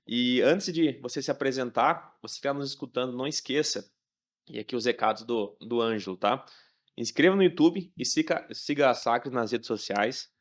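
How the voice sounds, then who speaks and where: A man's voice: medium pitch, normal speed. A Brazilian man hosting a podcast, speaking in a casual interviewing style. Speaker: podcast host.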